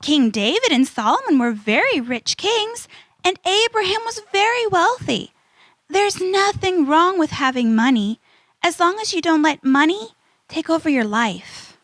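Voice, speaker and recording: A woman playing an earnest young adventurer girl in a children's audio drama, instructive and deliberate. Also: extremely high pitch, very animated, a bit bright, dry recording, exaggerated voice.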